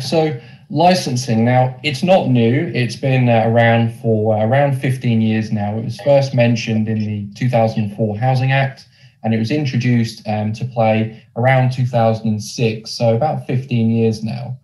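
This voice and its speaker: A man's voice: mid-range voice, fairly even intonation, normal speed, a little mellow, echoey room, poor audio. A man with a Norfolk English accent giving an explanatory webinar presentation as a presenter. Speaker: presenter, mortgage lender representative.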